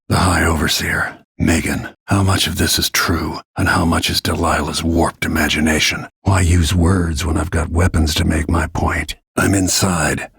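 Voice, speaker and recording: A weathered male bodyguard turned assassin for a video game. His voice sits low and is full of gravel, with a heavily husky grain. He speaks tersely, with grim, brooding restraint. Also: a bit bright, dry recording, clean studio sound, full character voice. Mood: grim, controlled.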